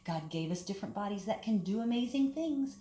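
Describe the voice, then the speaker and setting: A woman leading a children's storytime, talking and reading aloud in simple, deliberate phrases meant for young children. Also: medium pitch, animated, dark tone. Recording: echoey room with background hiss.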